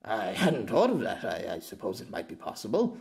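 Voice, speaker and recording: A man's voice: on the low side, huge pitch swings, a little fast, vocal fry, a little mellow, pitched below the performer's own voice, full character voice. Guy doing a flustered boastful middle aged bloke for an audiobook, stammers and blusters, easily outraged.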